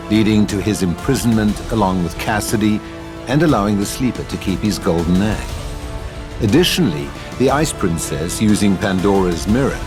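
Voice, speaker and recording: A man reading scripted narration and stage directions as the narrator of an audio drama. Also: fairly low pitch.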